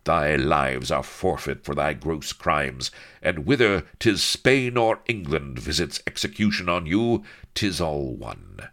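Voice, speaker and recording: This is a man acting a haughty gentleman swashbuckler from a storybook tale. He talks in clipped, archaic phrases with cold scorn, his pitch moving in lordly sweeps. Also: sing-song, takes it slowly, exaggerated voice. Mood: proudly scornful. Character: haughty, steely young gentleman hero, the king's man.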